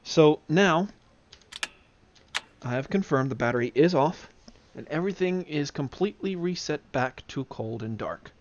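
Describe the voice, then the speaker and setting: A man giving an informal software demonstration, explaining things step by step. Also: on the high side, expressive, a little slow, lo-fi sound. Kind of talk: casual software tutorial. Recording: clear background noise of simulator ambience. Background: flight simulator background sounds.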